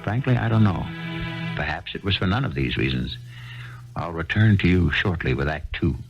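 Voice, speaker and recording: A man hosting and narrating a 1970s radio drama in a measured, wry, theatrical delivery. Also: sits low, lively intonation, creaky. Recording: dull lo-fi archival radio broadcast with music.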